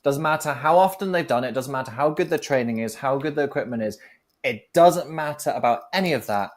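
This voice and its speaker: A man who talks his ideas through in an even, mid-pitched voice. He is a guest on a talk show, reflective and fond of working analogies step by step. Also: fairly quick. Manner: reflective, builds points with analogies. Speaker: guest speaker. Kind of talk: conversational advice on a talk show.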